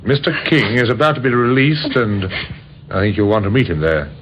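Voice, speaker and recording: Radio crime drama: wry, hesitant police inspector, voiced by a man, lively pitch, hissy old recording. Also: a little fast, highs cut off, lightly acted. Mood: gently wry. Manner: hesitant, polite, dryly ironic. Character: wry, courteous, thoughtful male police inspector.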